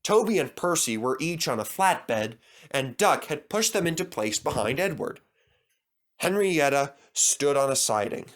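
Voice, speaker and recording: A man's voice: a little high, very animated, unhurried, a bit bright. A man narrating a children's story from a script as a storybook reader.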